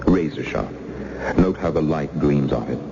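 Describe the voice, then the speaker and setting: An actor playing a menacing, coldly polite interrogator with a silky, taunting manner in an old radio thriller. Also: male voice, rumbling low, animated, moderate pace, creaky, heavily acted. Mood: calm menace. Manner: silky, mock-courteous, taunting. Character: menacing male interrogator, coldly polite villain threatening torture. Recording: muffled, hissy old radio recording.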